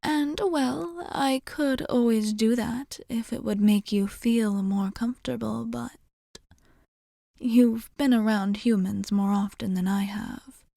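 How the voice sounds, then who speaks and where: A woman playing a courteous, soothing vampire bartender in an ASMR roleplay, speaking softly and formally, often dropping to a whisper. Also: mid-range voice, creaky, no echo, studio quality. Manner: formal, measured, gently reassuring.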